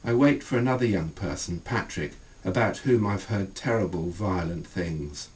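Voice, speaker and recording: A middle-aged man reading aloud from his own book as an author, in measured, deliberate phrases. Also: low-pitched, far from the mic, rough recording. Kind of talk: scripted literary reading.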